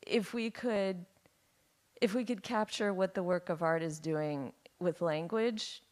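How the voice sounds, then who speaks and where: A woman's voice: fairly low pitch, measured pace, a little mellow. Woman asking a tentative question. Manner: searching, tentative, uses fillers like "like". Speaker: audience member asking a question. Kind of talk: audience question. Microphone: speaking through a mask.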